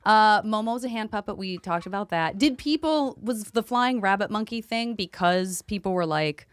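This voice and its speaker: A woman's voice: mid-range voice, animated, normal speed, clear, resonant tone, no echo. A woman hosting a podcast with playful, effusive casual banter. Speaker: podcast host.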